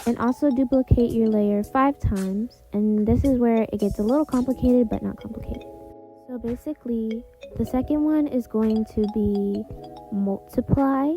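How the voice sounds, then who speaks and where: A woman's voice: fairly high pitch, normal speed, warm tone, soft background music. A woman giving a casual, rambling step-by-step tutorial voice-over in an informal way. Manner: informal, rambling, repeats filler phrases. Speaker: video creator and host of a tutorial.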